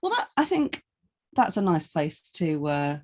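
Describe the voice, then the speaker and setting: Low-voiced teasing woman co-host. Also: very animated, measured pace. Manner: dry, teasing humour. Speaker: podcast co-host reading listener questions. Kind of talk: casual chat.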